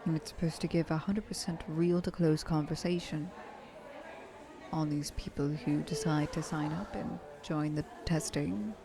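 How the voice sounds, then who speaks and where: A woman's voice: low-pitched, steady tone, moderate pace, creaky. A woman voicing an ancient, seductive, possessive vampire trillionaire in an intimate, poised ASMR roleplay. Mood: calm, reflective.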